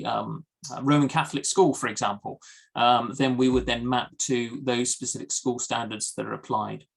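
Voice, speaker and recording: Uk guy presenting on a video call, steady, um-heavy. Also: mid-range voice, gravelly. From United Kingdom. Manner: steady, with many ums and uhs. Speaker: guest presenter. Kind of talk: webinar product presentation.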